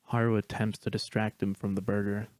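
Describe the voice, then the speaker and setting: Low-voiced man reading stage directions. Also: flat delivery, a little fast, darkish tone, dry recording. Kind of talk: plain read-aloud narration.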